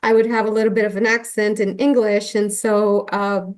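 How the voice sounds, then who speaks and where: A woman from Colombia tells her story as an interview guest. She speaks earnestly, at a mid pitch, with many likes, ums and self-corrections. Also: level tone, normal speed. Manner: warm and earnest, with many fillers and restarts. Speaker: guest, nurse anesthetist. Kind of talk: conversational interview.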